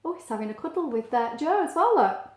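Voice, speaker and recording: A woman's voice: high voice, big pitch swings, fast, slight background noise. A woman reading a picture book aloud to young children, explaining things simply and adding chatty asides. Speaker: story reader for young children. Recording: echoey, roomy sound.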